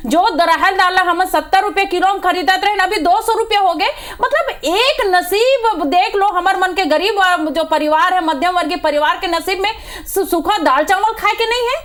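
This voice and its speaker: Very high-pitched, combative woman with Chhattisgarhi accent. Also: normal speed, few pauses, a bit bright. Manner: combative, insistent, repeats phrases for emphasis. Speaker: party spokesperson on a debate panel. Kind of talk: heated political debate.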